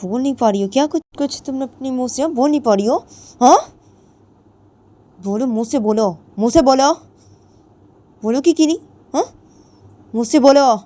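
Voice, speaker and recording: A woman voicing a domineering young woman who keeps loudly demanding answers and repeating her questions. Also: on the high side, animated, moderate pace, pauses often, breathy edge, voice pushed up. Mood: angry, demanding. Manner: badgering, repeats demands, loud and insistent.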